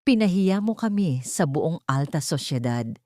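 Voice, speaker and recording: A woman's voice: deep voice, expressive, measured pace, warm tone, no room sound, studio quality. A woman voicing a furious, bitter old aristocratic mother-in-law in an audio drama, indignant and accusing. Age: older.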